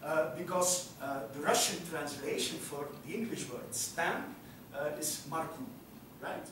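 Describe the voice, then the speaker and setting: A middle-aged man with a Belgian Dutch accent, a native Dutch speaker, giving an academic lecture and filling his pauses with frequent "uh"s. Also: fairly high pitch, background noise. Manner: frequent "uh" fillers, walks about while explaining. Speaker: university lecturer. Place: large lecture hall. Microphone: room mic far from speaker. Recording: echoey lecture hall from far off.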